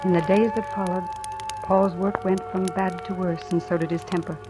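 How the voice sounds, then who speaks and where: A woman's voice: lowish voice, little pitch movement, moderate pace, close to an everyday voice. An actress playing a guarded, probing woman telling her suspense story in old-time radio drama style. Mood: brooding, uneasy. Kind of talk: 1940s-50s radio drama acting. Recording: old radio recording, music behind.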